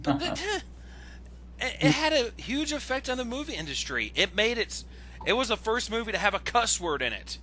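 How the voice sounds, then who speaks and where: Excitable high-pitched man, sharp bright voice. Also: moderate pace, a little hiss or hum. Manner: excitable, exasperated, stammering when worked up, swearing. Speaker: guest commentator on an online video. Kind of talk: casual voice-chat ranting. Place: online voice call.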